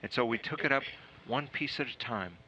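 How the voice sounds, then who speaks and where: A man, an astronaut, answering questions in a casual explaining style, halting with many fillers and restarts, on muffled lo-fi broadcast audio. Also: mid-range voice, expressive, bright, forceful tone, slight background noise. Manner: halting, lots of uh and repeated starts.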